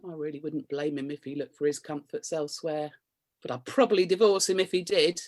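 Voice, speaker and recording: A woman's voice: low-pitched, steady tone, a little fast, a little mellow, lightly acted. An actress with a British accent playing a prim, flustered married woman, coy and curious, in a radio comedy. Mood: disdainful. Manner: coy, flustered, innuendo-laden delivery with nervous chuckles. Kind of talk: radio play comedy acting, confessional monologue and banter.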